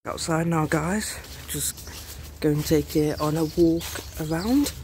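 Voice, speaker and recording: A man's voice: fairly high pitch, slow. A young man casually narrating his walk through an abandoned bungalow, talking to viewers on a handheld camera. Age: young adult. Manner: keeps addressing viewers as guys. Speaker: urban explorer vlogger. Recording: noisy outdoor camera audio.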